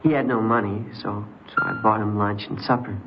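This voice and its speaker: A man's voice: on the low side, steady tone, brisk, lightly acted. An actor playing a naive, boastful American runaway teenage boy who poses as a soldier, hesitant and defensive, in an old-time radio crime drama. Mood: confiding, subdued. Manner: hesitant, defensive, eager to seem grown-up. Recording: dull vintage radio recording, music behind.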